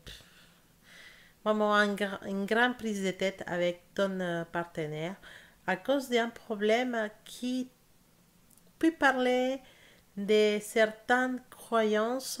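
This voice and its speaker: Brief: young South American woman, Spanish accent in French, careful tarot reader, stops and rephrases. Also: mid-range voice. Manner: slow careful speech with hesitations and self-corrections. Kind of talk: casual tarot reading to camera.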